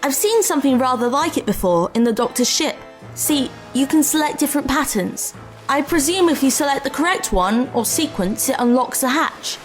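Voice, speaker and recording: Inquisitive adventuring woman, high voice, composed, precise wording, audio drama. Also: expressive, moderate pace, faint music behind. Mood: intrigued. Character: curious, brave, level-headed young woman adventurer.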